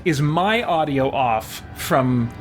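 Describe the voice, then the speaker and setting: A man's voice: medium pitch, lively intonation, slow. A man hosting a casual game livestream, rambling and thinking aloud as he chats with viewers. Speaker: game streamer, host. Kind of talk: casual livestream gameplay chat, reading viewer comments. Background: background music.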